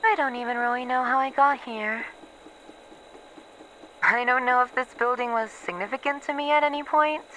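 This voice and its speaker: A woman playing a bitter, weary researcher losing her memories, in a halting, sardonic, sighing monologue for an ASMR horror roleplay. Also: high voice, pushed and bright, a little hiss or hum, poor audio. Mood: melancholy, musing. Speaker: voice actor in an audio roleplay.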